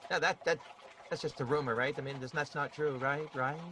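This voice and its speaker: An actor playing an earnest, anxious, injured newlywed who repeats himself plaintively in an improvised radio comedy. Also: male voice, a little high, fairly even intonation, a little fast, sharp tone, a little hiss or hum. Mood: tender, anxious. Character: wounded, earnest newlywed man, needy and anxious.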